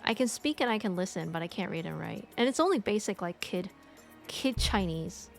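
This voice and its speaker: Rambling chatty woman, steady pitch. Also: moderate pace, gravelly, soft background music. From United States. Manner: rambling, lots of fillers. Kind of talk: casual chat.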